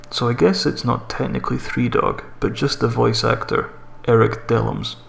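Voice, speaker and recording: Man reading a creepypasta with slight creak. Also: medium pitch. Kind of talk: scripted horror story narration. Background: background music.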